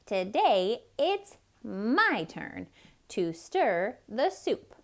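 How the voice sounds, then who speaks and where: Little storybook duck, male, wishful and quick to get upset, played by a woman, very lively pitch swings. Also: exaggerated voice. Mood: eager. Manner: murmuring wishfully or wailing. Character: small, eager, easily upset young male duck.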